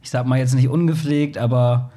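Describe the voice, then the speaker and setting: A man's voice: mid-range voice, steady tone, fairly quick, warm tone. A man talking casually as a guest on a podcast, informal and joking in manner. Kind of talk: casual chat.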